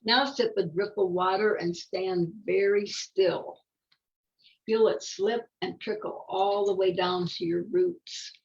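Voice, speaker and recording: An older woman reads a children's story in a creaky, gravelly voice. She takes her time and slips into casual, musing asides. The sound is a bit dull and lo-fi. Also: medium pitch, fairly even intonation, takes it slowly. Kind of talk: read-aloud picture book with casual asides.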